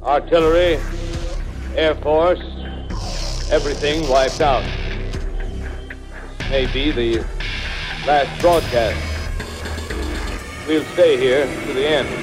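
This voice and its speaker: Man, slow solemn broadcast voice, music playing behind. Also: fairly high pitch. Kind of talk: dramatic wartime broadcast.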